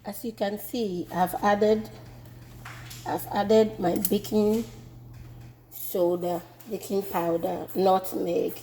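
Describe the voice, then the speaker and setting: A woman with a Ghanaian accent demonstrating a home recipe in her kitchen, relaxed and repeating herself as she works. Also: mid-range voice, slow, a little hiss or hum, low-quality recording. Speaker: home cooking vlogger. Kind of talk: casual cooking demonstration.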